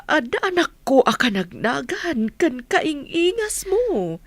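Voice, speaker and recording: A woman playing a gentle, hesitant older mother in grief, with a husky voice, in a radio drama. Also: high voice, very animated, slow, no echo, full character voice. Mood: sorrowful, hesitant. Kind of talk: Ilocano radio drama acting.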